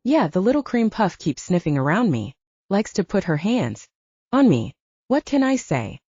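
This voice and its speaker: A woman's voice: mid-range voice, very animated, moderate pace, dark tone, slightly rough, no room sound, lo-fi sound. A woman voicing a brash, teasing male werewolf pack leader with a sarcastic, needling manner, in an audiobook. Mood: smug, taunting.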